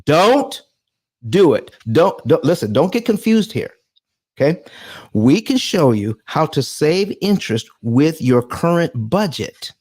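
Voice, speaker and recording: A man's voice: fairly high pitch, very animated, unhurried, a little mellow, husky. A man hosting a live finance show who talks emphatically, repeats himself and calls the audience folks. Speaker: host.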